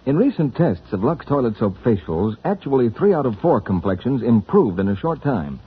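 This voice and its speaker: A man's voice: medium pitch, sing-song, normal speed, breathy edge. A man announcing on 1940s radio, reading scripted introductions and advertising copy. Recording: muffled 1940s radio broadcast.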